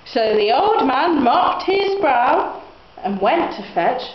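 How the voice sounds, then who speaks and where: A woman's voice: high-pitched, very animated, slow pace. A young woman reading a children's story aloud expressively, in the manner of a student narrator. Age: young adult. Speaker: student reader. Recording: echoey, muffled room recording.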